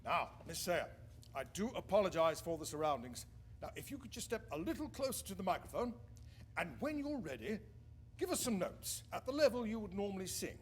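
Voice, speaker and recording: A man playing a courteous, cautious 1920s radio engineer in a period radio drama, speaking in a measured, explanatory way. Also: high-pitched, sing-song, slight background noise. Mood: courteous, welcoming.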